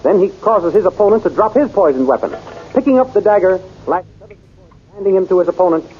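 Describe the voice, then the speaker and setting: A man's voice: high voice, animated, brisk, soft background music. A man narrating a 1930s radio serial with an emphatic storytelling delivery, in a dull lo-fi old recording.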